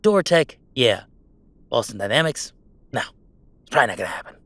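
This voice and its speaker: A man's voice: medium pitch, big pitch swings, a little fast, fairly bright tone, no echo. A man playing a goofy young werewolf streamer in an intimate roleplay audio drama, talking in casual, mock-grandiose banter with small hesitations. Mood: teasing banter. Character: goofy young male werewolf streamer, playful, defiant about family. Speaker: game streamer.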